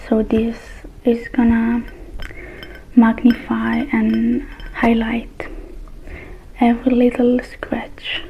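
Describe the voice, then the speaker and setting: A woman performs a soothing ASMR roleplay as a technician tending to the listener. She whispers slowly and evenly, close to the microphone, with faint noise behind her soft voice. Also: a little high, monotone, gravelly, dull audio. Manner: soothing, personal attention addressed to the listener. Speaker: ASMR creator playing a robot repair technician.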